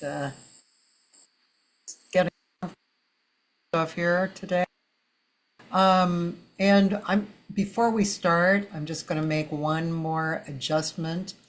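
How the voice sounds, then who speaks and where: A woman hosting a casual online chat, unhurried and chatty, laughing easily. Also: on the low side, a bit bright, low-quality recording. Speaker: host.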